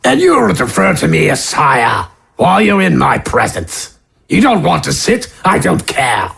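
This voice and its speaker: Raspy, gravelly, haughty fat baron. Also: male voice, a little high, very animated, unhurried, fairly bright tone, voice pushed up, full character voice. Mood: disdainful, commanding. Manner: imperious, archaic rustic phrasing. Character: haughty, gluttonous middle-aged fat baron, gruff and domineering. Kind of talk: fantasy audio drama acting.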